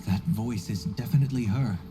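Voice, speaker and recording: Man as a low-voiced philosophical game character. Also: music in the background, natural voice. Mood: pensive, reverent. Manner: formal, measured, poetic. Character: thoughtful, reverent, philosophical male onlooker. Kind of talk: video game voice acting.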